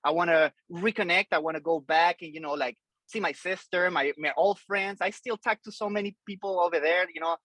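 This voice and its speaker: Rambling Colombian-born man, bright tone. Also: sits high, brisk, no echo. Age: 40s. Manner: loose and rambling, many fillers and restarts. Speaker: podcast guest, drummer. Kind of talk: casual interview chat.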